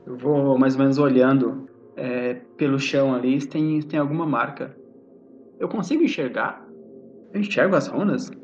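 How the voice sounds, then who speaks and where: A man's voice: medium pitch, normal speed, darkish tone, slight background noise. A Brazilian man talking casually as a player in a tabletop roleplaying game. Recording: muffled lo-fi audio with music. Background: music.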